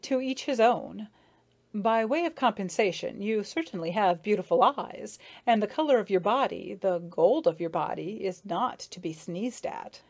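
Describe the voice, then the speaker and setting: A woman voicing a fussy, polite male rose beetle who is pompous and quick to anger. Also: medium pitch, lively intonation, darkish tone.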